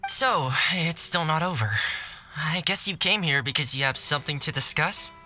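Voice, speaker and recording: Worried friendly townsperson in a game, very bright voice, light music behind. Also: male voice, on the high side, moderate pace. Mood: anxious, helpful. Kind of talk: English video game dub acting.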